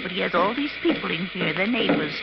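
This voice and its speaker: An actress playing a shrewd, skeptical older lady sleuth with a brisk, no-nonsense manner in a 1950s radio mystery. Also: lowish voice, animated, gravelly. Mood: skeptical, conspiratorial. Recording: muffled, heavily noisy 1950s radio recording.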